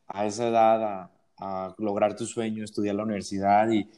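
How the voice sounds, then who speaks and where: A man's voice: lowish voice, little pitch movement, normal speed, mellow. A man interviewing a guest, asking questions steadily and using "este" as a filler.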